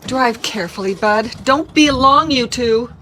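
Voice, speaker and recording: Anxious, higher-voiced fussing mother. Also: unhurried, slight background noise. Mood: anxious, cautioning. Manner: worried, gently insistent. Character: anxious, cautious, fussing mother of a teenage boy. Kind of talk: radio drama acting.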